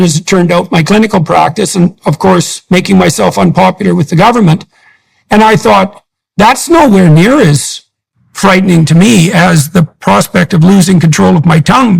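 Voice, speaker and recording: A man talking in an interview, speaking with forceful, emphatic conviction. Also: high voice, vocal fry, slightly rough, poor audio. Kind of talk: conversational interview.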